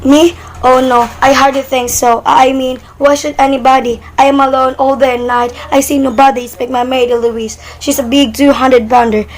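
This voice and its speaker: A woman's voice: sits high, level tone, normal speed, few pauses, natural voice. A woman playing an anxious, demanding invalid wife in an amateur radio play, fretful, insistent and wordy. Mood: flustered, self-assured. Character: anxious, demanding invalid wife reporting an overheard murder plot.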